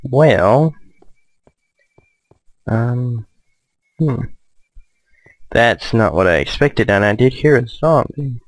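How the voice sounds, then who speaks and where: A man giving casual, low-key let's play commentary, musing hesitantly to himself as he plays. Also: animated, drawn-out words, long pauses, dry recording. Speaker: gamer, let's play host.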